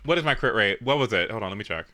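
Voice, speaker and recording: A man chatting casually as he streams a video game, often leaping into high, squeaky exclamations. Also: animated, very fast, bright, forceful tone. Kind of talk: casual gaming stream chat.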